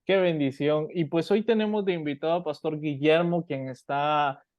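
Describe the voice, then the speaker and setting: Upbeat radio host, slightly dull sound. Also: male voice, a little high, moderate pace, no echo. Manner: friendly, affirming, long wordy questions. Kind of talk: radio interview hosting. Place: radio studio.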